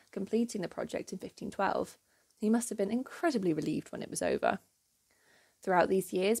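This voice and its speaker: A woman narrates a history lesson in a clear, measured way. Her voice is mellow and warm. She tells the story with quiet enthusiasm and moderate pitch. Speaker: history channel host. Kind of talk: scripted educational narration.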